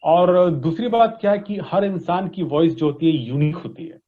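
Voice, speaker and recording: A man with an Indian accent speaks in a fairly high voice. He explains things step by step like an instructor, slipping between languages as an interview guest. The recording is dull and slightly lo-fi. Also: moderate pace. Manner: didactic, frequent check-in tags. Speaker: interview guest, voice industry expert. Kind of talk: explanatory interview answers mixing Hindi and English. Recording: dull, lo-fi audio.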